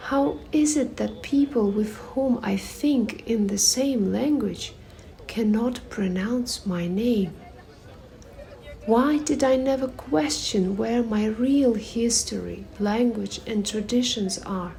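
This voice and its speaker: A woman reading a personal essay aloud at a public reading, in a measured, reflective way with pauses between phrases. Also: fairly high pitch, vocal fry, warm tone. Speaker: reader at a performative reading.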